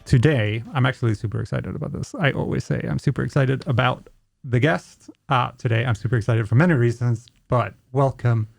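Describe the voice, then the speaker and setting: A man's voice: mid-range voice, brisk, creaky, no room sound, faint music behind. Man hosting a podcast, dry close sound. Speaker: podcast host. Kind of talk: casual podcast interviewing. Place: small curtained podcast studio. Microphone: studio microphone on boom arm, close.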